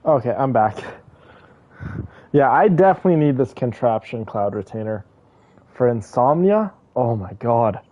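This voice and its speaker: A woman's voice: a bass voice, lively intonation, moderate pace, long pauses. A woman casually reacting to a video game as a streamer. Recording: dull, noisy mic with clicks.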